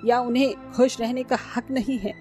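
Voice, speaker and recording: A woman's voice: fairly high pitch, steady tone, moderate pace, a little mellow, soft background music, lightly acted. Woman as an older, firm mother-in-law. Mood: stern.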